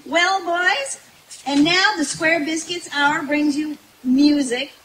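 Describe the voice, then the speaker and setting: A woman's voice: high voice, takes it slowly, a bit bright. A woman playing a folksy, jokey country hostess in a live old-time radio comedy. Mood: cheerful. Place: outdoor night stage in the woods. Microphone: stage microphone, filmed by distant camera. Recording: noisy outdoor stage recording from afar.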